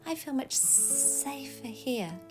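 A woman voicing a small, gentle, frightened adder snake in a children's storybook, with drawn-out speech. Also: high voice, lively intonation, music in the background, voice pushed up. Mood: plaintive, frightened.

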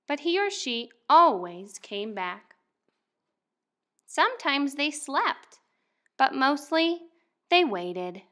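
Expressive, bright-voiced young woman reading to children. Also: fairly high pitch. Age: young adult. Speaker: first grade teacher. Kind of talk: picture book read-aloud for children.

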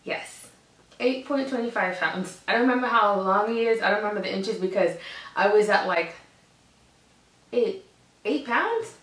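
A woman's voice: mid-range voice, lively intonation, normal speed, long pauses, fairly bright tone. Chatty woman vlogging in an echoey room. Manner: chatty, giggly, self-correcting. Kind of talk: casual pregnancy update to camera. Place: home office indoors. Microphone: camera across the desk. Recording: echoey room, mic a bit far.

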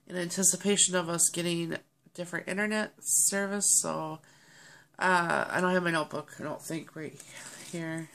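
A woman with a low, stuffy, nasal voice talks straight into her webcam from a small home office. She has a cold and rambles in a friendly way, filling gaps with ums and clearing her throat now and then. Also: steady tone, moderate pace, a bit bright. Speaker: vlogger. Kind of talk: casual chat to camera. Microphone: webcam at desk.

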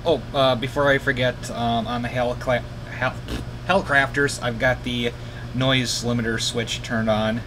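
Halting man, air conditioner noise behind. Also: medium pitch, little pitch movement. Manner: halting, searching for words. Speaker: hobbyist demonstrating shortwave radios. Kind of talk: casual unscripted demonstration. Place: indoor home radio room. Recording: noisy room with air conditioner running. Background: air conditioner hum.

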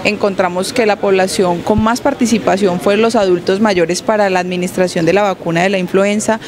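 A woman's voice: medium pitch, fairly even intonation, brisk, a crowd in the background. A young woman, a hospital coordinator giving an on-site news interview with a handheld mic in an outdoor courtyard, explaining with hesitant fillers. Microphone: handheld station mic.

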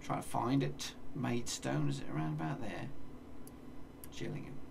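Gravelly English man muttering game commentary. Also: mid-range voice, moderate pace, far from the mic, background noise. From England. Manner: mutters place names to himself, swears playfully. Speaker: gaming streamer. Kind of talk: casual live game commentary. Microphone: desk mic, seen in webcam corner.

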